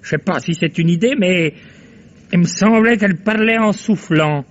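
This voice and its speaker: An actor playing a wary old Parisian cab driver in a radio drama, with speech, hesitant and rambling. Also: male voice, high voice, normal speed, natural voice. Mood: rambling recollection. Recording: dull vintage radio recording.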